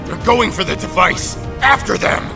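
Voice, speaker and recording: An actor in a superhero audio drama playing a ruthless, commanding, menacing warlord, with loud music underneath. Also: male voice, high-pitched, animated, moderate pace, vocal fry. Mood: furious, commanding.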